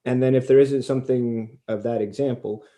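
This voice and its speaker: A man hosting a conversational interview on a video call, speaking in wordy, deliberate, self-deprecating phrases. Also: mid-range voice, steady tone, warm tone. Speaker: podcast host and interviewer.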